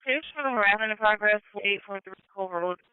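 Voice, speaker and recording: A woman's voice: mid-range voice, fast, a little hiss or hum. A woman working as an emergency dispatcher, giving clipped, procedural announcements over a two-way radio. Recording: phone-like police scanner radio audio.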